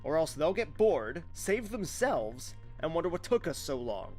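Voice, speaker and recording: An actor playing a cocky, blunt rival turned reluctant ally in a fantasy audio drama, with a brash, wry manner. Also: male voice, on the high side, sing-song, a little slow, loud music behind the voice. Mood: brash resolve.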